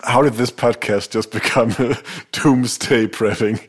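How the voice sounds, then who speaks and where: A man's voice: mid-range voice, steady tone, takes it slowly, slightly rough. A man with a Danish accent co-hosting a podcast, reading passages aloud and joking dryly. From Denmark. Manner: dry, wry, laughs often. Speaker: podcast co-host. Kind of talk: casual chat, reading book passages aloud.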